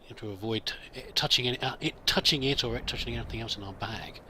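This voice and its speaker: A man's voice: medium pitch, fast talker, bright, forceful tone, slight background noise. A man musing aloud as he plays, improvising in-character commentary and talking to his companion as a let's play host.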